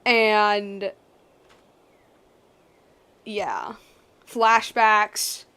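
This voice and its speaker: A woman streaming a video game with casual, slangy, excitable commentary. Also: mid-range voice, very slow, gravelly, smooth. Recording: music behind the voice. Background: game music.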